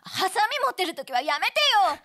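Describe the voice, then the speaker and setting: A woman's voice: very high voice, sing-song, moderate pace, pushed and bright, dry recording, studio quality, exaggerated voice. An actress playing a wistful young woman recalling a lost love in an audio drama. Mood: alarmed. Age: young adult. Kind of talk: audio drama dialogue and narration.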